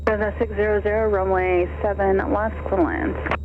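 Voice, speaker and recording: Crackly narrow radio, male tower controller, high voice, firing off clipped instructions. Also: level tone, normal speed. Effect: aviation radio. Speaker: air traffic tower controller. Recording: narrow, noisy aviation radio link.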